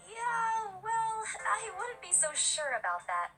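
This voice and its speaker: A woman's voice: very high-pitched, wildly swooping pitch, slow pace, music in the background, pitched above the performer's own voice, full character voice, rough recording. Woman voicing a wary, ghost-fearing young woman. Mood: warning. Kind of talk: reading game dialogue aloud.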